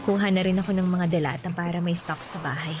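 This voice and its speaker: A woman's voice: on the low side, fairly even intonation, quick pace, noisy background, lightly acted. An actress in a Filipino radio drama playing a sweet, hesitant, polite office woman in her twenties. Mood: musing, cheerful. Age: 20s.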